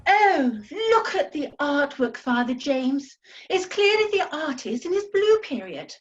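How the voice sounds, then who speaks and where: A woman's voice: high-pitched, very animated, measured pace, distant mic, dull audio, faint music behind. A woman playing a gushing, earnest, arty passenger in a comic radio play.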